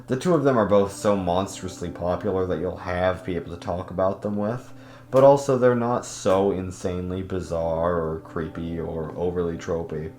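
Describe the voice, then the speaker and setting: A man's voice: sits low, darkish tone, reverberant. A man giving casual, opinionated video essay commentary in an informal, emphatic way that leans on intensifiers and casual swearing. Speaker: host of a video guide.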